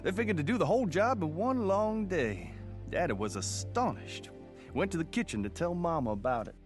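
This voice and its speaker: A man's voice: on the high side, sing-song, normal speed. An actor playing a grown son who fondly narrates his farm childhood in a folksy radio drama. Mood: fond, storytelling. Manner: folksy storytelling. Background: music.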